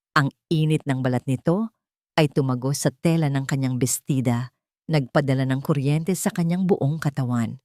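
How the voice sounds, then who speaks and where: A woman's voice: sits low, darkish tone, no echo, studio quality. A woman with a Filipino accent reading a scripted romantic story as narrator.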